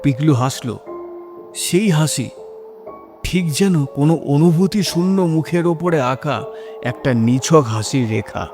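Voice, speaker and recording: A man's voice: fairly high pitch, animated, slow, long pauses, a little mellow, soft background music. A man narrating a scripted first-person horror story in a dramatic, suspenseful manner.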